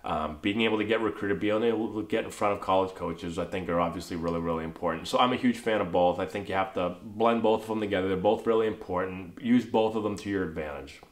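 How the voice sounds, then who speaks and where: Stuffy-nosed coach with a cold. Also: male voice, deep voice, fast, hardly any pauses. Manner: casual, coachy, tags lines with "right?". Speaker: baseball coach answering viewer questions. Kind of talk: casual talking-head Q&A. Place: small indoor room, plain wall. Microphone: camera in front, head and shoulders. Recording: echoey room.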